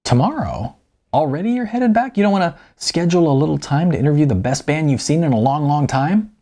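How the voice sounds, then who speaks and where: Audiobook character: earnest young woman, pushy and eager, read by a man, husky with very lively pitch. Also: fairly quick. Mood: insistent. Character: earnest, persistent young woman, chatty then probing.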